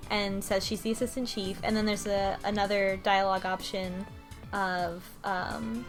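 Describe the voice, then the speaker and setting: A woman's voice: mid-range voice, little pitch movement, moderate pace. A woman in an informal, conversational chat as a podcast panelist, recapping a story. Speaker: book club podcast panelist. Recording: music playing behind the talk. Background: music.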